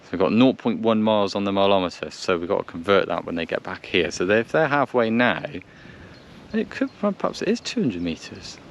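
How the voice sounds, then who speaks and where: Low-voiced Englishman over vehicle noise. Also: sing-song, brisk. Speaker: vlog presenter. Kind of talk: casual to-camera commentary and radio check chat. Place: outdoors by a vehicle in the countryside. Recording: noisy outdoor vehicle background.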